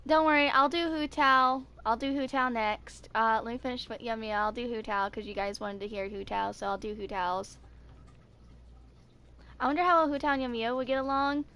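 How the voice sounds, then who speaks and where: A woman's voice: a little high, normal speed, sharp tone. A woman in her twenties chatting casually to viewers in a rambling, loosely worded way. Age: 20s. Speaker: video creator.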